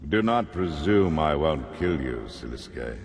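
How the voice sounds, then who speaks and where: An actor playing a grim, threatening ancient being in a video game. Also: male voice, rumbling low, very animated, unhurried, a little hiss or hum, heavily acted. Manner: stern, threatening. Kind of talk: video game cutscene acting.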